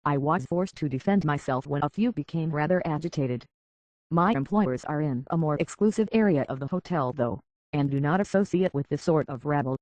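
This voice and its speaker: A man's voice: a little high, brisk, darkish tone, close to an everyday voice, poor audio. Man acting a prim, fussy robot butler. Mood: prim, courteous. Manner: prim, formal, mannered butler diction. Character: polite, fussy, anxious male robot butler. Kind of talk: scripted video game character dialogue.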